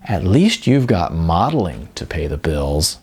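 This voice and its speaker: An encouraging acting coach, performed by a male narrator in a storybook. He is cheerful and emphatic, with a very lively voice that leaps up in pitch. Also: measured pace, breathy edge, exaggerated voice.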